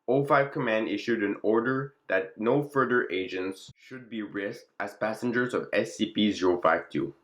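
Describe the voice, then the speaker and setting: Low-voiced man reading a formal log. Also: a bit bright. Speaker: narrator and presenter of the channel. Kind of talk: scripted narration of a fictional classified document.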